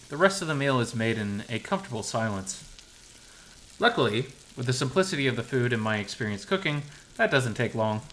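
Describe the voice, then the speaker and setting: A man narrating a first-person visual novel story in an expressive, scripted reading style. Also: mid-range voice.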